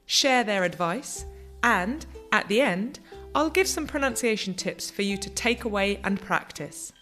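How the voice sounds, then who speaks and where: A woman hosting an English-learning podcast, her pitch in a typical middle range. She introduces the show and asks questions in an upbeat, encouraging way. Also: lively intonation, unhurried, fairly bright tone, soft background music. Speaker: podcast host. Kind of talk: presenting and interviewing. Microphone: video call.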